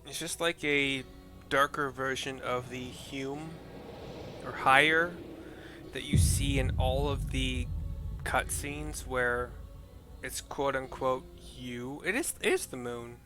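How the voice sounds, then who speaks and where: A man's voice: medium pitch, fairly even intonation, slow pace, pushed and bright. A man giving casual gameplay commentary, describing what is on screen in hesitant, piecemeal phrases as he searches for words. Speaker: video game streamer narrating for viewers. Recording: game music under the commentary. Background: clear game music.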